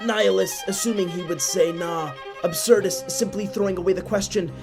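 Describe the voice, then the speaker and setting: A man with a somewhat high-pitched voice tells a scripted story as a narrator. His delivery is theatrical and solemn, with a literary feel. Violin music plays faintly underneath. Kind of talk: scripted dramatic storytelling for a puppet show.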